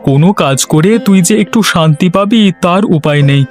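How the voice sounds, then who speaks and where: Village carpenter for a cartoon, male actor: high-ish voice, conversational grumbling, over music. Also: brisk, a little mellow, close to an everyday voice. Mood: weary, grumbling. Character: village woodcutter and carpenter, weary but self-assured. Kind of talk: cartoon story voice acting. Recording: music behind the voice.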